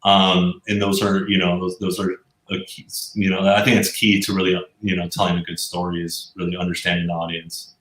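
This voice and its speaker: Male interview guest, lowish voice, casual explainer who rephrases often, echoey room. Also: little pitch movement, fairly bright tone. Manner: halting, circling back and rephrasing as he explains. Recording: roomy, echoey sound.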